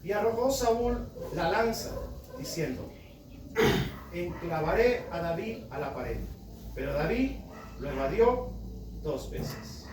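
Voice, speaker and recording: A man's voice: high voice, expressive, slow pace, pauses often. Fervent Honduran man preaching, far and roomy. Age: 30s. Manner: fervent, calls out to the congregation with repeated asides. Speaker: lay preacher. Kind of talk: evangelical sermon. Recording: roomy, distant and noisy recording.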